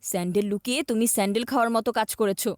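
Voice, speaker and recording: A woman as a high-voiced scheming cartoon boy. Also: very fast, dry recording, clean studio sound, close to an everyday voice. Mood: cunning. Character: scheming, anxious boy, mischievous older brother. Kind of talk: cartoon dub acting. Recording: music behind the voice.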